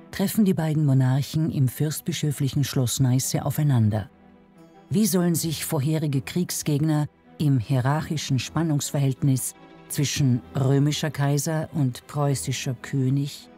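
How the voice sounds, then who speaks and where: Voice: mid-range voice, measured pace, dark tone, soft background music. A narrator reading a scripted historical documentary.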